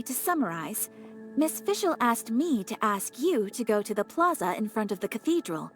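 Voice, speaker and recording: A woman's voice: on the high side, lightly acted. A woman voicing a polite, businesslike young receptionist in a video game.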